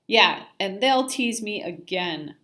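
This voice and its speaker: A woman's voice: medium pitch, expressive, slow pace, bright, forceful tone. A woman voicing an upset young boy who gets teased, in a plaintive, exclaiming way, for a children's story.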